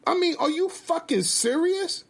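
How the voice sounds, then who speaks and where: A man's voice: a little high, lively intonation, normal speed, vocal fry, husky, pitched above the performer's own voice, full character voice. A man performs an indignant, easily provoked husband in a dramatic story. The voice climbs well above normal talk and swings widely, exasperated and emphatic. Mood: furious, incredulous.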